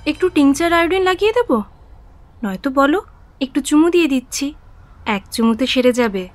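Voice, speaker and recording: উঁচু গলার আদুরে তরুণী বউ. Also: female voice, high voice, lively intonation, normal speed, a little hiss or hum. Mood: playful, tender. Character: affectionate, playful young wife. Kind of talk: Bengali radio drama acting.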